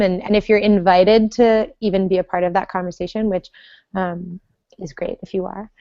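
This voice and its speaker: Low-voiced woman thinking aloud. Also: creaky, darkish tone, dry recording, lo-fi sound. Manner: hesitant, many ums, thinking aloud. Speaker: support manager, podcast panelist. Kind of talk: casual panel chat.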